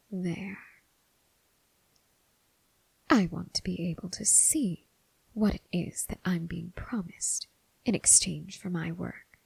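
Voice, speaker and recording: A woman voicing a seductive, predatory female demon in a teasing, whispered ASMR roleplay, speaking slowly and intimately to the listener. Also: lowish voice, a little mellow, no room sound, exaggerated voice, rough recording. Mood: commanding, seductive. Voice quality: breathy, mostly whispered. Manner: slow, deliberate, teasing, coaxing.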